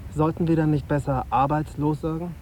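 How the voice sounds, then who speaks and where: Guy playing young radio reporter in an audio drama, soft dark voice, dry n understated, little bit of noise behind. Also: on the high side, fairly even intonation, brisk, close to an everyday voice. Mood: quietly insistent. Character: young male radio journalist, wry, observant, probing. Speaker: actor narrating and interviewing in radio drama. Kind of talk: literary first-person narration and naturalistic dialogue.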